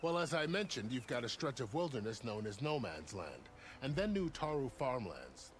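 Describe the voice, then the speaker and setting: An actor playing a seasoned, plain-spoken soldier in a fantasy video game, explaining things in a wry, conversational way. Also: male voice, mid-range voice, very animated, normal speed, a little hiss or hum, close to an everyday voice. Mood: informative, wry.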